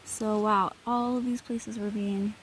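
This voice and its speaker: A woman's voice: mid-range voice, steady tone, unhurried, slight background noise, low-quality recording. A woman vlogging on a forest hike, musing as she points out spots on a map. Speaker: hiker vlogger. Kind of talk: casual on-trail commentary. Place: outdoors on a forest trail.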